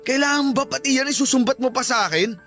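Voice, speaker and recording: A man's voice: very high-pitched, fast, fairly bright tone, soft background music. A man with a Filipino accent playing a tired, defensive husband and father in a radio drama. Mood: defensive, irritated.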